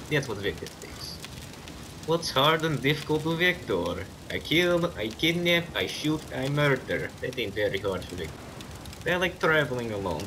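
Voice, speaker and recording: A man's voice: medium pitch, lightly acted. A man acting a brash, boastful hitman survivor who talks about himself in the third person, in an improvised game roleplay. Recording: clear background noise, steady rain ambience.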